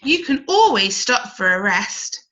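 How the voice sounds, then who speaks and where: A woman's voice: medium pitch, sing-song, a little slow, pushed and bright. A woman voicing a cheerful, reassuring ladybird in a children's storybook, encouraging and emphatic. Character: cheerful, reassuring flying ladybird, proud of its wings. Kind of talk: children's storybook read-aloud.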